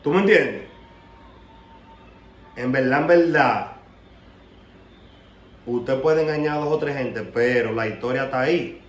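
A man's voice: mid-range voice, a little slow, long pauses. A young man with a Dominican Spanish accent gives an emphatic, confrontational, slangy rant as an online commentator talking casually to the camera. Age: young adult. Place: small room at home, indoors. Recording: roomy echo, faint background noise.

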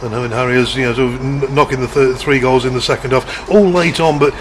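A man's voice: medium pitch, fairly even intonation, fast, a little hiss or hum. A man asking post-match questions for the club, restarting words as he goes. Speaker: club media interviewer.

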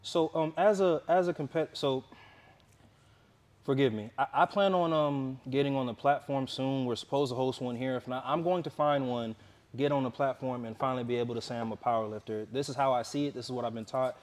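A man's voice: mid-range voice, steady tone. Casual male interviewer, young adult, stop-start delivery with lots of fillers. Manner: halting, many restarts and fillers.

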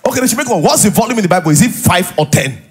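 Nigerian guy preaching in church, high raspy voice, swings up and down a lot, chatty and animated. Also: very fast, faint music behind. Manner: animated, conversational preaching with interjections and repeated rhetorical questions. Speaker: pastor. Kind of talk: sermon to a live congregation. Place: large church auditorium, on stage. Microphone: headset.

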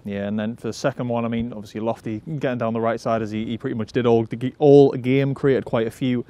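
A man's voice: medium pitch, level tone, fast, dark tone. A man interviewing a footballer after a match for the club's channel, asking casual, chatty questions with restarts. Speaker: club media interviewer.

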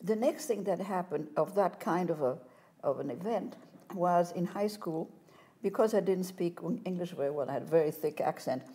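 An older woman telling her life story as a guest in a studio interview, animated and gesturing as she talks, on a lapel mic. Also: lowish voice, moderate pace. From France. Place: studio set, seated in armchair.

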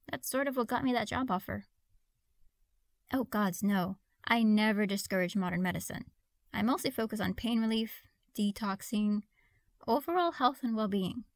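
A woman's voice: mid-range voice, dry recording, close to an everyday voice. A woman playing a friendly, awkward young witch in a conversational audio roleplay, hesitant and apologetic.

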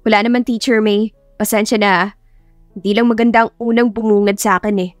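A woman's voice: medium pitch, little pitch movement, brisk, no room sound, clean studio sound, faint music behind, lightly acted. A woman voicing a blunt, easily annoyed young female teacher in an audiobook romance. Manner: blunt, defensive, scolding.

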